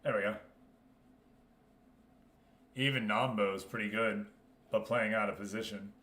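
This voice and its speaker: A man's voice: on the low side, steady tone, a little slow, pushed and bright. A man livestreaming a football management game, chatting casually with viewers and reacting aloud as he goes. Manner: informal, reacting aloud, talking to himself. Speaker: live streamer hosting a Football Manager league. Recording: roomy, echoing sound.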